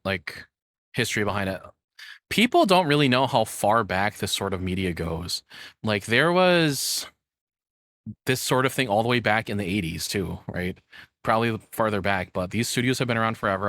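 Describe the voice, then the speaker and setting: A man's voice: on the low side, animated, fairly quick, fairly bright tone, no echo, studio quality. A man giving casual, playful, tongue-in-cheek live commentary as a streamer reacting to a video.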